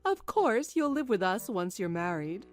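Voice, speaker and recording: A woman's voice: mid-range voice, very animated, moderate pace, natural voice. A woman playing a haughty, spiteful older mother-in-law who bullies others in a manga drama. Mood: haughty, scornful. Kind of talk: manga dub drama acting. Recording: music behind the voice.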